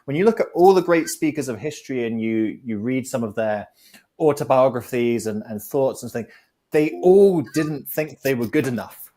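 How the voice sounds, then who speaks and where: A man who talks his ideas through in an even, mid-pitched voice. He is a guest on a talk show, reflective and fond of working analogies step by step. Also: normal speed. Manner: reflective, builds points with analogies. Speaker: guest speaker. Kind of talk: conversational advice on a talk show.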